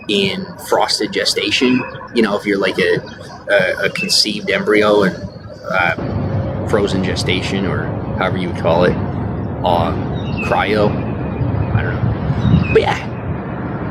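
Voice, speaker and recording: Man, low-pitched, rambling and insistent, lots of ums and restarts; outdoor noise, birds and traffic behind. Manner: meandering, full of fillers and restarts; earnest, insistent. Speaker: vlogger. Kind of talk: rambling personal monologue. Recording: clear background noise with birdsong and passing traffic.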